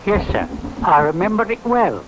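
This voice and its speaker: Blind old villager, swooping voice. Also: male voice, sits high, sing-song. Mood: weary, earnest. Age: older. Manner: halting, deferential. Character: blind old English villager, deferential and plain-spoken. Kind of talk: 1940s radio drama acting. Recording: faintly noisy old radio recording.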